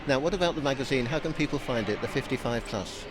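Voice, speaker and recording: A man hosting a radio interview, relaxed and hesitant with frequent uh fillers. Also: medium pitch, fast, music in the background. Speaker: radio host.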